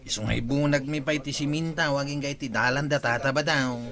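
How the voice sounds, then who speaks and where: An actor playing a dutiful, firm young village aide and nephew in an Ilocano radio comedy drama. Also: male voice, medium pitch, fairly even intonation, brisk, a bit bright, natural voice. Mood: earnest.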